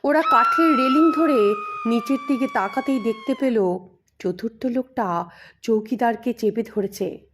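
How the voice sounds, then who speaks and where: Slow, high-pitched woman storyteller. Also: wildly swooping pitch, background noise. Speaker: audio story narrator. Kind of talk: scripted storytelling narration of a mystery tale.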